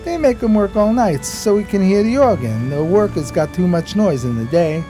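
Man acting a lively, streetwise 1920s hobo sidekick. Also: high voice, normal speed, soft background music. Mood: chatty, matter-of-fact. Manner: slangy, folksy, ungrammatical street talk. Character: streetwise, simple, loyal homeless male sidekick in 1920s New York. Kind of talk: radio theater acting.

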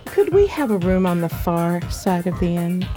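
A woman playing a patient, affectionate preacher's wife in a radio play, speaking in a gentle, coaxing way. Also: lowish voice, sing-song, moderate pace, over music. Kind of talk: radio theatre acting.

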